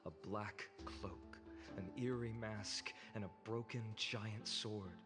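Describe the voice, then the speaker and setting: An earnest young warrior hero from a video game, speaking slowly in a slightly low voice. Long reverb surrounds him and music plays behind. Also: male voice, steady tone. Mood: grim, haunted.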